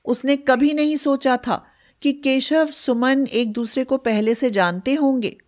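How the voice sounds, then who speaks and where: A woman's voice: high voice, measured pace, a little mellow. A woman narrating a scripted moral story as a storyteller.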